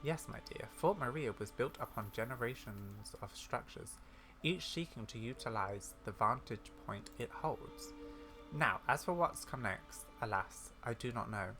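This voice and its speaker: A man's voice: mid-range voice, animated, a little slow, fairly bright tone, faint music behind. A man voicing a kindly, bookish old granny scholar from a video game, speaking in a theatrical, wordy storyteller's way. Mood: measured, regretful.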